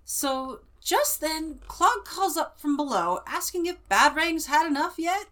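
A woman's voice: high-pitched, lively intonation, measured pace, a bit bright. A woman with a Central California accent, co-hosting a book podcast and retelling a chapter in a chatty way. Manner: chatty, many fillers. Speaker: podcast co-host.

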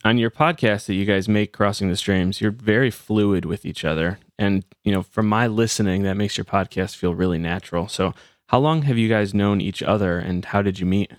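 Low-voiced man hosting a podcast interview. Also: moderate pace, no room sound, clean studio sound. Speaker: podcast host. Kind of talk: scripted introduction and interview.

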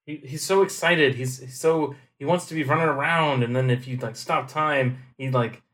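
A man co-hosting a podcast, casually explaining video game tactics in a relaxed way. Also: mid-range voice, normal speed. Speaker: podcast co-host.

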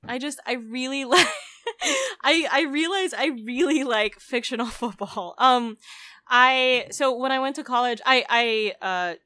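A woman's voice: high-pitched, measured pace, pushed and bright, dry recording. A woman in her twenties who grew up in the Philippines chats on a podcast, stacking "like" and "um" as she goes. She laughs often through her own stories. The sound is dry and close, with no room around it. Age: 20s. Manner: chatty, giggly, lots of "like" and "um". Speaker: podcast co-host. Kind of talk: casual podcast chat.

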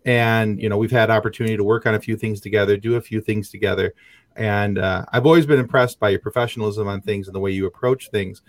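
A man hosting an interview, halting and repeating words as he encourages his guest. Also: on the low side, no echo. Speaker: host. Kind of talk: warm interview introduction.

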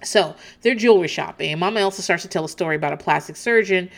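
A woman's voice: fairly low pitch, fast talker, vocal fry. A woman host chatting casually as she recaps a reality show, swearing freely and laughing often.

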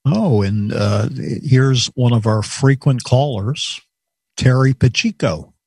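A man co-hosts a call-in show, guiding callers with halting, repeated words. His voice is a little husky and sits at a middle pitch. The sound is dry and close. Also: mellow, no room sound. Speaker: co-host running the call.

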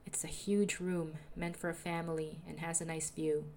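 Soothing, lower-voiced young woman. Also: normal speed, slight background noise. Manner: calm, reflective, confessional. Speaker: podcaster. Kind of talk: personal diary narration.

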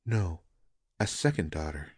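A man's voice: deep voice, very animated, a little slow, a little mellow, slightly rough, dry recording, exaggerated voice. Male narrator playing troubled husband and musician, earnest, restrained, audiobook fantasy dialogue, close dry recording. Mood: wistful.

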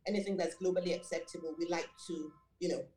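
Low-voiced Nigerian woman in an echoey room. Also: fairly even intonation, mellow. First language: Yoruba. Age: middle-aged. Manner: measured, with many fillers and self-corrections. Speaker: guest, school director and behavior analyst. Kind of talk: interview answers.

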